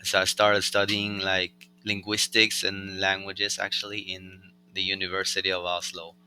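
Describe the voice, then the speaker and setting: A young man with a Norwegian accent, a rapper being interviewed, chatting in a relaxed, rambling way with lots of fillers. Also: sits low, normal speed, slight background noise, poor audio. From Norway. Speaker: rapper guest. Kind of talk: casual conversational interview.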